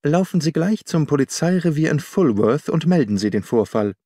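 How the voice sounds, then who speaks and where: A man reading as a brisk, commanding detective. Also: a little high, expressive, mellow, dry recording, clean studio sound. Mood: urgent. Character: brisk, commanding male detective questioning and giving orders.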